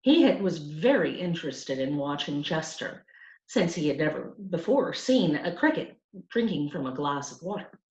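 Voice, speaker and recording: A woman reading a children's novel aloud as a storyteller, with an animated, deliberate delivery. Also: sits low. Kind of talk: expressive scripted audiobook narration for children. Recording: roomy, dull lo-fi home recording.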